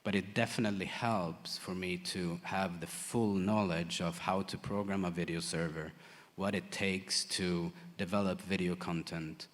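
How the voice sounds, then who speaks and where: A man who works as a lighting designer, answering audience questions in a halting way with many fillers and restarts. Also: deep voice, fairly even intonation. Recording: long hall reverb.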